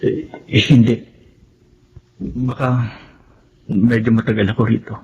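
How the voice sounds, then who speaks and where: A dull, noisy recording holds a mid-pitched Filipino male voice of an actor. He plays a subdued grown son, hesitant and respectful in radio drama style. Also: little pitch movement, a little slow, a little mellow, lightly acted.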